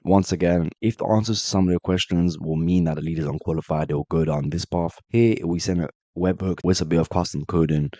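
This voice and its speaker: A man with a very low voice talks quickly through a screen-share tutorial, fighting off a cold. His tone is dark and mellow, and the dry, close sound has no room in it. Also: fairly even intonation, no room sound. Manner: brisk, direct step-by-step walkthrough addressing viewers as guys. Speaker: automation tutorial host. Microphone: voice-over on screen recording.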